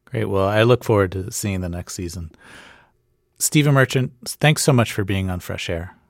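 A man who is a radio show producer, asking a guest questions in a measured, unhurried way. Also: on the low side, creaky, no echo, studio quality.

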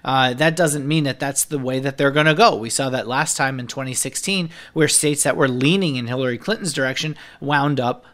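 A man of middle pitch who talks through the numbers fluently, one state after another. He is a senior political editor on a political podcast, explaining polls and ad spending with only a few uh fillers. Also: a little fast, hardly any pauses, fairly bright tone. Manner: fluent explainer, rattles off figures with occasional uh fillers.

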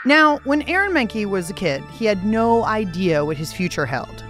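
A woman's voice: mid-range voice, sing-song, moderate pace, fairly bright tone. An American woman presents a radio show over light music. She reads scripted narration with a playful touch and theatrical emphasis, dropping in casual asides.

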